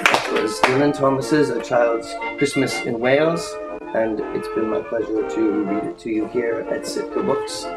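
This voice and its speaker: A man giving an expressive, lyrical and unhurried reading of a literary story aloud to listeners. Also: mid-range voice. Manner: dramatic, lyrical, unhurried delivery. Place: indoor reading in a bookshop. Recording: roomy echo, faint music behind.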